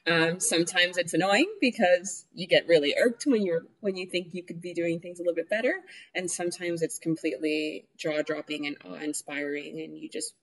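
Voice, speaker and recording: A woman's voice: on the low side, steady tone, brisk, hardly any pauses, poor audio. A young woman from New York City, chatting easily in an interview, laughing often and using plenty of fillers. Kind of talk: casual interview conversation.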